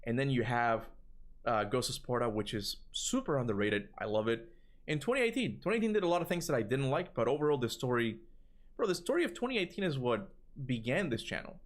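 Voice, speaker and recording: A man with a Cuban accent chatting casually on a livestream, rambling and animated, answering chat and swearing now and then. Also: medium pitch, brisk. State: tired voice from long talking. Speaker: gaming streamer answering chat.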